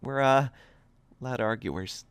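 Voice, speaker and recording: A man voicing a gentle, shaken young woman who speaks softly in a hushed, tired way. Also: mid-range voice, slow, smooth, no room sound, pitched above the performer's own voice, natural voice.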